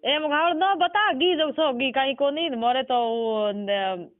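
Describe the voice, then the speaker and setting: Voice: high voice, slow. Rajasthani accent, pushy repeated questions, casual phone call, muffled narrow line, no echo. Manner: insistent, repetitive questioning. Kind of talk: informal phone conversation, questioning. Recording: muffled band-limited phone call recording.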